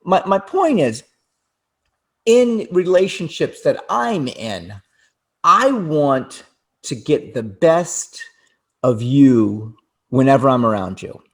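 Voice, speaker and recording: An older man co-hosting a relaxed conversation, talking in halting bursts with frequent restarts and repeated words. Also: mid-range voice. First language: English. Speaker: co-host. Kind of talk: casual chat.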